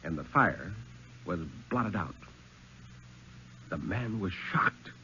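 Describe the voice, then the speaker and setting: A man reading a thriller story aloud in a 1950s dramatic radio style, with suspenseful, measured phrasing and deliberate pauses. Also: sits low, expressive, pushed and bright, slight background noise. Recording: muffled, lo-fi 1950s radio recording.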